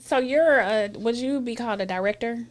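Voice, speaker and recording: A woman's voice: on the high side, moderate pace. Conversational woman hosting a group chat. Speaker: host, therapist. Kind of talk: casual group conversation, explaining.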